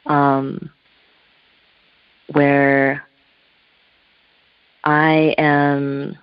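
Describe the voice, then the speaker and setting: A native Korean-speaking woman talks slowly and thoughtfully, feeling her way through each idea. Her voice is dry and close, with no room sound. It comes through a muffled telephone line. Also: sits low, flat delivery, clean tone, no room sound. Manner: searching, thoughtful, loose phrasing. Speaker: interview guest, spiritual artist. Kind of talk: reflective telephone interview talk.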